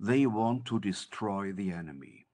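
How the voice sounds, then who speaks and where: Slow-talking man, lowish voice. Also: expressive, a little mellow. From Germany. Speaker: journalist, interview guest.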